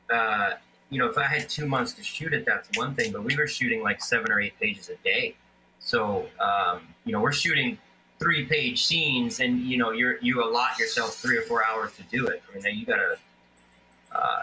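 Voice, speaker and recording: A man's voice: medium pitch, bright, forceful tone, a little hiss or hum, low-quality recording. A man, an independent filmmaker, talking casually about his film with many fillers and restarts. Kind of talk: casual interview chat.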